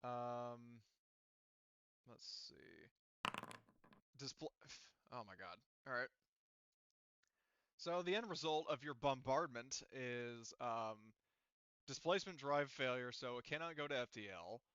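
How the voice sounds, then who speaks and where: A man's voice: medium pitch, expressive, slow pace, long pauses, a bit bright, clean tone, dry recording, dull audio. A man casually narrating and explaining a tabletop game to his players as game master. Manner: informal, explanatory.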